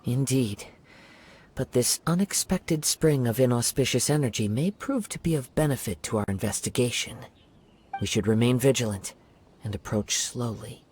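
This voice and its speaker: A man voicing a calm young wandering samurai in a video game, speaking in a measured, thoughtful way. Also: mid-range voice, a little hiss or hum, natural voice. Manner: measured, thoughtful and soft-spoken. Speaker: investigating hero.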